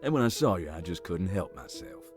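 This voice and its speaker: Actor as teasing guy in a spooky game, lowish voice, lively, light music under it. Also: fairly quick. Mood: amused, apologetic. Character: playful, apologetic male prankster in a haunted hospital. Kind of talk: visual novel game voice acting.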